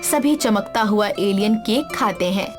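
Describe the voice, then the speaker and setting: A woman's voice: medium pitch, a little slow, soft background music. A woman narrating a scripted story for children.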